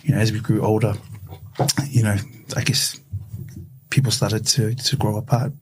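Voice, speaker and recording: A young man with a New Zealand accent talking in a relaxed interview, often tagging his sentences with "eh". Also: lowish voice, normal speed, slight background noise, poor audio. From West Auckland, New Zealand. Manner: relaxed, says "eh" a lot, frequent fillers. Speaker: podcast guest. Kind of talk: casual interview.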